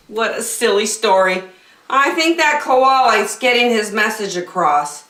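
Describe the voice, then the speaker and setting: Animated, unhurried woman reading children's stories. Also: on the high side. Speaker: children's storytime reader. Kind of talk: expressive storybook narration.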